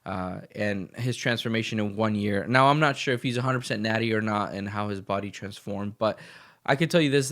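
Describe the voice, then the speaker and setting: A man hosting his own podcast, talking casually and motivationally in a rambling way, a little sniffly as he gets over the flu. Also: on the low side. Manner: rambling and motivational, with frequent restarts. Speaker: podcast host. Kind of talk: casual solo podcast monologue.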